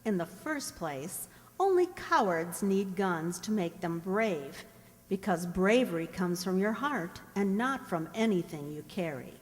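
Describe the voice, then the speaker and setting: A woman's voice: lowish voice, lively intonation, a little slow. A woman playing a bookish, principled young frontier woman, an earnest and instructive elder sister in a radio play. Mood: firm, earnest. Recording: reverberant hall-like room.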